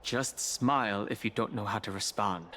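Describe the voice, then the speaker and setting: An actor playing a calm, sincere and supportive young traveling companion in a video game, speaking politely and thoughtfully. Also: male voice, lowish voice. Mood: friendly, reassuring. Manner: thoughtful, polite, measured wording. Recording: loud music behind.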